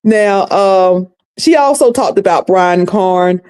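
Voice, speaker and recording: A woman's voice: mid-range voice, slow pace, a little mellow, clear, resonant tone. A woman from North Carolina with a Southern accent, hosting a casual recap stream and chatting folksily with her viewers. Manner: chatty, folksy, addresses viewers as y'all and sugar. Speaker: commentary livestream host.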